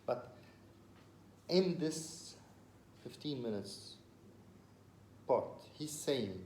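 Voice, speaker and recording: A man from the Levant talks through ideas in a relaxed, explanatory way, often pausing and repeating himself. His voice sits in a roomy space with faint ambient noise behind it. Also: mid-range voice. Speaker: discussion partner explaining a recorded talk. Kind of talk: casual explanatory conversation.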